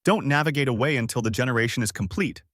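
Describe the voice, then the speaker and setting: A man narrates a scripted step-by-step software tutorial in an orderly, explanatory, instructional way, as a close voice-over. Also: medium pitch, quick pace, no room sound.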